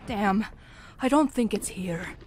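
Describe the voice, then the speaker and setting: An actress plays a frustrated woman survivor, quick to swear and to apologise. She speaks slowly in a medium-pitched voice, with faint ambient noise behind her in an audio drama. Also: lively intonation. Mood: frustrated, apologetic. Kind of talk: amateur audio drama acting.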